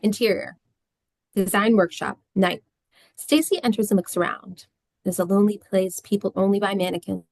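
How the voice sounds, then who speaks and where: Female narrator for script directions, gravelly edge, mid pitch, dry sound. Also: moderate pace, a little mellow, dry recording.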